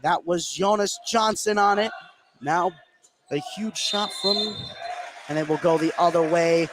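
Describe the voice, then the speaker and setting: A man doing live radio play-by-play of a basketball game, getting excitable on big plays. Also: high-pitched, a little slow, background noise. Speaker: play-by-play commentator.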